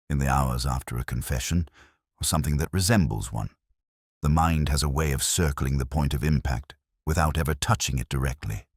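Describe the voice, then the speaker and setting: A man reading a first-person detective story in a measured, reflective and sombre literary manner. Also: very deep voice, husky, dry recording, studio quality. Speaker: narrator.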